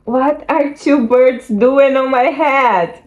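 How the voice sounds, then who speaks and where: A woman's voice: sits high, slow. A woman voicing a worried, bewildered elephant from a picture book, exclaiming and questioning. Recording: muffled lo-fi home recording, background noise.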